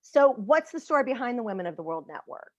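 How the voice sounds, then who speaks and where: A woman from Central Texas who hosts the interview, talking and asking questions in a relaxed way over a video call. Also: a little high, fast talker, dry recording, lo-fi sound. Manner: talkative, asks questions. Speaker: host. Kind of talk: casual interview chat.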